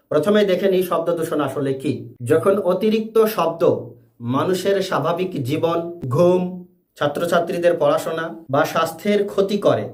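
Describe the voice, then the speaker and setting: A man presenting a scripted educational explainer in deliberate, separate phrases. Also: fairly high pitch. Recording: echoey room.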